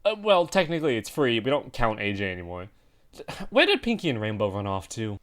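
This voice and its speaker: A woman's voice: very deep voice, big pitch swings, brisk. A woman voicing a blunt, irritable, grumpy female pony leader in a parody cartoon. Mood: curt, exasperated.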